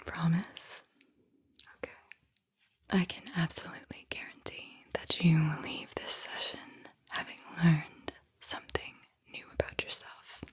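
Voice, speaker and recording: Slow, whispering ASMR woman. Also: sits low, level tone. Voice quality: breathy, airy whisper. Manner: slow, soothing, deliberate delivery with repeated phrases. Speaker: host, guided visualization narrator. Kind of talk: whispered ASMR guided visualization.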